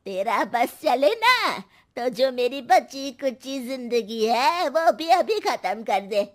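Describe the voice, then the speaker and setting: A woman voicing a sharp-tongued, bossy old grandmother in a cartoon family story, scolding and sarcastic. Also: sits high, very animated, takes it slowly, exaggerated voice.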